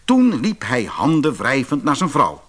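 A man reading a fairy tale for children in an expressive narrating style. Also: medium pitch, a little slow.

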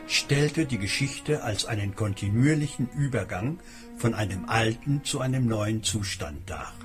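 A man reading a scripted documentary narration in a measured, explanatory manner. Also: medium pitch.

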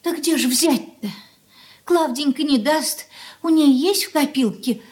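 An actress playing an anxious, meek middle-aged mother in a radio drama, with plaintive, gentle, folksy speech. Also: sits high, measured pace. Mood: anxious, pleading. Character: anxious, meek, pious, lamenting mother of grown son. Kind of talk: Russian radio drama acting.

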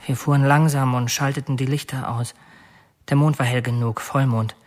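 An actor playing a man who confesses to a burglary turned killing, recounting it in measured, matter-of-fact detail in an audio drama. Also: medium pitch, steady tone, soft background music, lightly acted. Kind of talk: audio drama monologue, confession under questioning.

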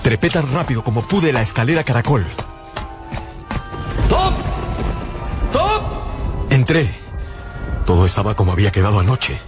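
A man playing an anguished eyewitness in a radio drama, telling his story in a grave, halting, urgent way. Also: mid-range voice, huge pitch swings. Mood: anguished, haunted. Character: anguished male eyewitness narrator searching for missing friend. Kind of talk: radio drama narration and dialogue. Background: loud dramatic music.

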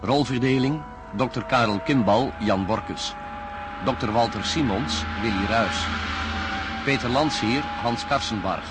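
A male announcer reads the credits in a measured, formal tone. Loud music plays under his voice. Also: medium pitch. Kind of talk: reading credits over music.

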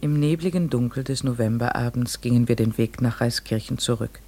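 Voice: medium pitch, brisk, dark tone, slight background noise, natural voice. An actor playing an earnest, devoted woman in a radio play, formal and literary in speech and narration. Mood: reflective.

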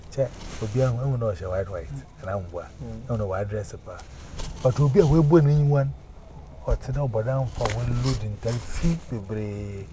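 A man with a Ghanaian accent, preaching and giving testimony, emphatic and often repeating words in chanting runs. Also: mid-range voice. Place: outdoors on a busy street. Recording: noisy street recording.

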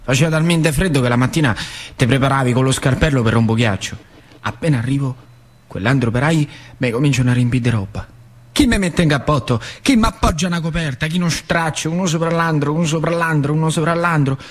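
An actor playing an excitable working-class factory worker, telling his story in a central Italian accent with repeated phrases for emphasis. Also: fairly high pitch, brisk, natural voice. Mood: wry, nostalgic. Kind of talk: theatrical monologue in dialect.

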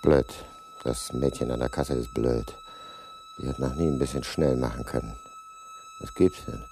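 An actor playing a furtive, coolly calculating husband in a German radio crime play, often muttering clipped thoughts to himself. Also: male voice, rumbling low, wildly swooping pitch, moderate pace, full character voice. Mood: impatient, watchful. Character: furtive, tense husband, polite in public, coolly calculating murderer. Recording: noisy 1980s radio drama production.

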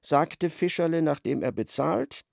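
An actor narrating a literary radio play as a wry, expressive storyteller. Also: male voice, on the high side, a little slow, vocal fry, dry recording, clean studio sound.

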